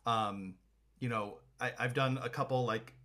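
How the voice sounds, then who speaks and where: A man's voice: mid-range voice, steady tone, a little slow, pushed and bright. A man hosting a podcast, asking enthusiastic, rambling questions in casual chat. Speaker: podcast host.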